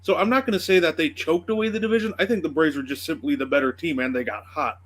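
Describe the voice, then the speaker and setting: Higher-voiced man arguing sports stats. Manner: argues with stats, measured. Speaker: sports show panelist. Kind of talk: casual sports debate.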